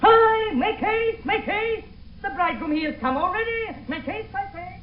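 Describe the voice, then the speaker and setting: Very high-pitched, bustling old lord. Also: male voice, expressive, slight background noise. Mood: bustling, impatient. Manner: commanding, hurried, theatrical. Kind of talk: 1970s radio Shakespeare acting. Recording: echoey room, dull old radio recording.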